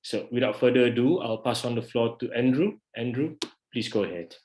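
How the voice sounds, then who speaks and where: Halting moderator in an echoey room. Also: male voice, medium pitch, a little mellow, slight background noise. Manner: halting, frequent uhs. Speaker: webinar moderator. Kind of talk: formal introduction. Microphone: video call.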